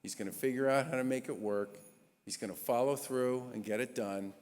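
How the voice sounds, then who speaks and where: A man in his sixties, a state governor, giving an earnest, emphatic introduction speech with measured pauses at a gala. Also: mid-range voice, darkish tone. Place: large historic church. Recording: long reverb of a large church hall.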